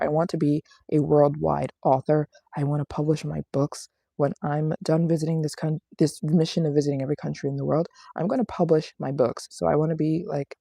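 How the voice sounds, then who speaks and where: A young woman hosts a casual livestream, talking in a low register with a gravelly fry. She is chatty and playful, repeating phrases for emphasis as she answers viewers. The sound is close and dry. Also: mellow, dry recording. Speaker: travel vlogger hosting a livestream. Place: small apartment living room in Japan.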